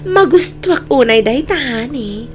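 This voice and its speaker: A woman with an Ilocano accent playing an excitable, flirtatious mistress in an audio drama. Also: high voice, measured pace, soft background music. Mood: giddy, flirtatious.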